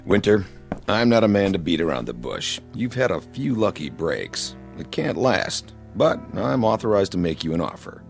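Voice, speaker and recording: An actor playing a blustering, threatening rival newspaper boss in a radio drama, blunt and pompous. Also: male voice, sits low, big pitch swings, moderate pace, creaky, soft background music, heavily acted. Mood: pompous, pressing.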